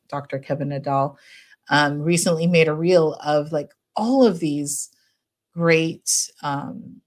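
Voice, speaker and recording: This is a middle-aged woman psychologist chatting as a podcast guest. Her voice sits a bit low, and her manner is gentle and relaxed. She wanders through thoughts with plenty of fillers and light laughter. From United States. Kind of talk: casual podcast interview.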